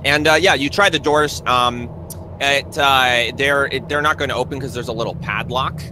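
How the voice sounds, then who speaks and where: A man runs a tabletop game in a conversational, improvised style. His pitch is average and his phrasing halting. There is clear noise in the background of the stream. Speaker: game master.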